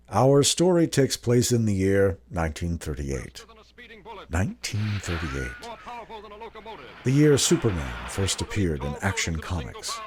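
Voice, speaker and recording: A man hosting a radio drama series, giving measured, scripted narration in a theatrical, portentous manner. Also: sits low, darkish tone, husky. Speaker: host, producer and star of a radio drama series. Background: faint music.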